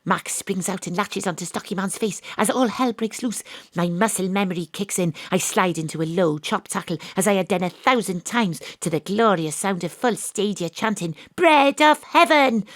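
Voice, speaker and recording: A woman's voice: mid-range voice, normal speed, no room sound, studio quality, close to an everyday voice. An actress playing a wry, self-regarding detective, telling her own story in an audio drama. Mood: excited. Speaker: actor narrating an audio drama. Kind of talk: first-person dramatic narration.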